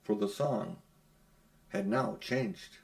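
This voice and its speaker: Middle-aged man reading aloud, gravelly edge. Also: fairly low pitch, takes it slowly. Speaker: audiobook reader. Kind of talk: reading a children's novel aloud. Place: small room at home.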